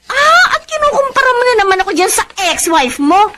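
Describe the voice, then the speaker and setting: A woman's voice: very high-pitched, sing-song, brisk, very noisy. A woman with a Filipino accent playing a nagging, defiant wife in a radio drama. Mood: angry, scornful. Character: shrill, nagging, defiant wife quarrelling over money.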